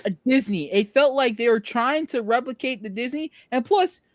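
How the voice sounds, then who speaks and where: Animated, high-voiced woman in a debate. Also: moderate pace, no room sound, clean studio sound. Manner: argumentative, emphatic, slangy. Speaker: podcast panelist. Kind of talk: casual group debate about films.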